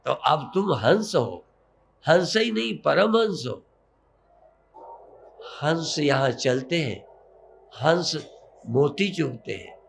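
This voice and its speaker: A man guides a breathing meditation in the way of a spiritual teacher. His voice sits a little high for a man and has a marked gravelly creak. He speaks very slowly, coaxing gently and repeating short phrases. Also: expressive, slight background noise.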